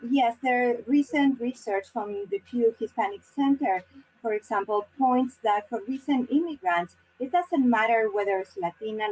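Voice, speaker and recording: A woman's voice: on the high side, darkish tone, husky, low-quality recording. Woman. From Argentina. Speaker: community leader, panel guest.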